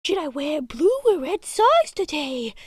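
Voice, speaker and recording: A woman's voice: high-pitched, sing-song, measured pace, no echo, clean studio sound, pitched above the performer's own voice. A woman voicing a mocking caricature of a self-important teenage girl, melodramatic and exaggerated, in a cartoon dub. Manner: melodramatic, sing-song mockery.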